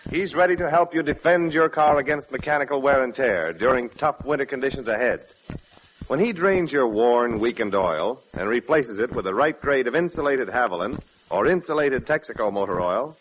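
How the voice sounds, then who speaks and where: A man's voice: mid-range voice, a bit bright. A man reading a scripted advertisement as a 1940s radio announcer. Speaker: commercial announcer. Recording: slightly noisy 1940s radio recording.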